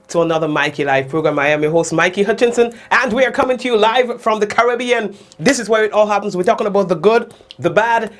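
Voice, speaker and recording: A man hosting a live news show in a casual, upbeat way, his voice stuffy and congested. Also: high voice. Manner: lively, upbeat, folksy asides.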